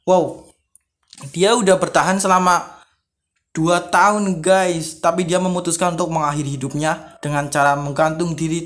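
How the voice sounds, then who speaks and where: A man's voice: sits high, a bit bright. A man hosting a casual vlog, reading stories aloud and adding informal commentary in his own words. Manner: informal, reads aloud then paraphrases and reacts. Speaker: video creator, host.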